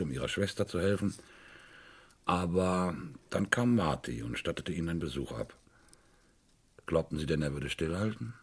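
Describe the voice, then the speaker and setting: A shrewd inspector with a low, husky voice that moves in wide swings. He reasons methodically and probes with care, in the manner of a vintage radio crime drama. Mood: grave, probing. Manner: deliberate, probing, explanatory. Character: shrewd, methodical male British police inspector. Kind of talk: 1970s German radio crime play acting.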